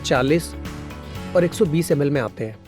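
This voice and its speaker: Male narrator for a conversational factory explainer, studio voice-over, clear music bed behind. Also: on the high side, moderate pace. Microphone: studio voice-over mic. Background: background music.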